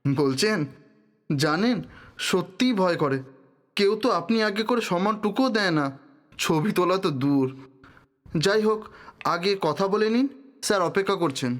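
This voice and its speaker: An actor playing a timid, deferential office assistant, humble in manner, in an audio drama. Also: male voice, sits high, lively intonation, unhurried, pauses often. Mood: shy, humble. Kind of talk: Bengali audio drama acting.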